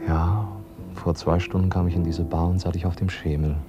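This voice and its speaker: A man's voice: sits low, little pitch movement, moderate pace, lightly acted. An actor playing a tender, infatuated, tipsy man murmuring to his lover in a 1950s German radio play. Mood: dreamy, reckless. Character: infatuated, tipsy, dreamy male lover in a bar. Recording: dull 1950s radio recording with guitar music. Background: plucked guitar music.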